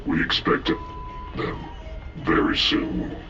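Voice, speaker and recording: A man playing a guarded, menacing elite soldier in a video game, with a gravelly growl. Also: slow, bright, forceful tone, reverberant, far from the mic, muffled recording, soft background music. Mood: grim. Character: menacing, guarded elite military ghost operative. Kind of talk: video game cutscene acting.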